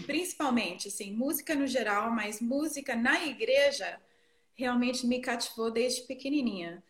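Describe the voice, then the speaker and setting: A middle-aged woman from the northeastern United States, a native English speaker, telling her story reflectively and haltingly as an interview guest. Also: fairly high pitch, roomy sound. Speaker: interview guest, worship leader. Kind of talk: conversational personal storytelling in an interview.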